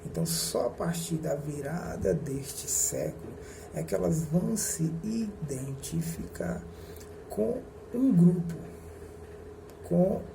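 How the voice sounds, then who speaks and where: A male history teacher lectures at an unhurried pace, with a gravelly edge to his slightly high voice. He often pauses and corrects himself as he explains. The recording is noisy, with a steady hum underneath. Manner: slow, deliberate, explains with pauses and self-corrections.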